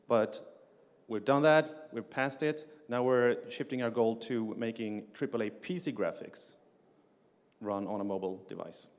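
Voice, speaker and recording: A man's voice: medium pitch, unhurried. A middle-aged man from Sweden, giving a technical conference talk with many "uh" fillers and restarts. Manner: frequent "uh" fillers and restarts, plain explanatory delivery. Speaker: conference speaker, game engine developer. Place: conference hall on stage. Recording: long reverb of a lecture hall.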